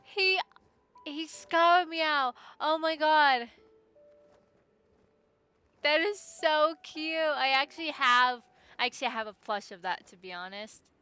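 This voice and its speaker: A woman's voice: high-pitched, slow pace, long pauses, pushed and bright, no room sound. A young adult woman chatting casually and reacting to a game on a livestream. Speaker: game streamer. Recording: game music faintly behind.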